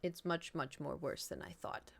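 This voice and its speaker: A woman's voice: low-pitched, animated, normal speed, gravelly. Rambling, casual woman streamer in her thirties. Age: 30s. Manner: informal, sweary, repeats herself for emphasis. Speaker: livestream host. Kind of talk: casual rambling chat to viewers.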